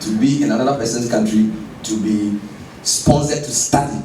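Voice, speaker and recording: A man speaking in an animated, emphatic way in a conversational interview. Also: fairly low pitch, moderate pace, slight background noise. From Ghana. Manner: animated, emphatic, laughs while telling stories. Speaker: guest speaker. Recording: roomy, distant mic with background ambience.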